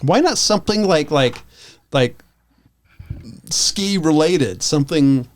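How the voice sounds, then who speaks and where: American guy on a podcast, kinda high voice, just bantering and teasing casually. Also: expressive, slow. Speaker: podcast co-host. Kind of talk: casual chat.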